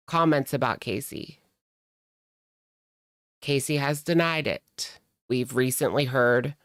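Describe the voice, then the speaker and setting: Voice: mid-range voice, clear, resonant tone, dry recording, clean studio sound. A streamer giving casual reaction commentary in a gossipy, sardonic, conversational way.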